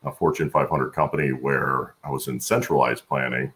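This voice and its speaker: An American man in his forties with an extremely low, gravelly voice. He talks conversationally as an interview guest over a video call. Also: fairly even intonation, normal speed, fairly bright tone. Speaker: guest, supply chain executive. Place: room at home on a video call.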